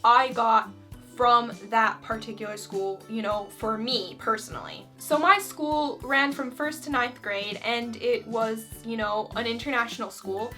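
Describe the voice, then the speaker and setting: A woman's voice: a little high, steady tone, measured pace, pushed and bright, soft background music. A young woman from Finland chatting casually to camera as a vlogger, filling her talk with "you know" and "like". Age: young adult.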